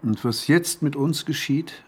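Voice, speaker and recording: An actor playing a troubled, intense male scientist who narrates his own ordeal in measured radio drama style. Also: fairly high pitch, breathy edge. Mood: brooding, defiant. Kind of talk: German radio drama, literary first-person narration.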